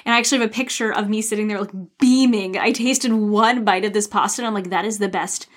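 A woman's voice: medium pitch, fairly even intonation, fast talker. A young woman co-hosting a podcast, telling a food story with lots of enthusiasm in casual chat. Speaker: podcast co-host.

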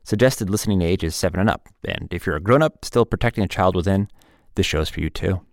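A man's voice: deep voice, fast talker, creaky, no echo, clean studio sound. A man announcing a scripted introduction to an audio drama.

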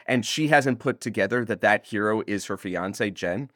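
A man's voice: on the low side, moderate pace, a bit bright, dry recording, clean studio sound. A man being interviewed as an audio drama creator, chatting casually in a rambling, jokey way that often interrupts itself. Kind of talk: casual, animated interview chat.

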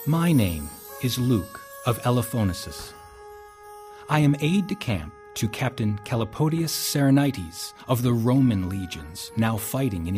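Earnest, animated Roman soldier aide. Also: male voice, fairly low pitch. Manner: earnest, dramatic. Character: loyal male Roman soldier, captain's devoted aide. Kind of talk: full-cast audio drama acting and narration. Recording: music and noise behind drama.

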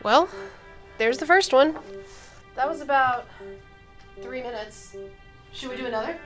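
A woman's voice: high-pitched, unhurried, over music. A woman acting as a candid, teasing older sister in an audio drama, talking in a natural, blunt way. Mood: thoughtful. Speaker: actor.

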